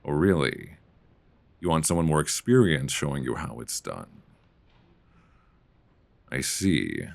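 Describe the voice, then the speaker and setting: A man playing a mild-mannered, flirtatious middle-aged librarian who can turn quietly commanding, in an intimate, conversational ASMR roleplay on a close mic. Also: rumbling low, big pitch swings, takes it slowly, gravelly, pauses often, no echo, heavily acted. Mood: gently reluctant. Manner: conversational, hesitant, teasing. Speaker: audio roleplay performer. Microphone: close binaural microphone.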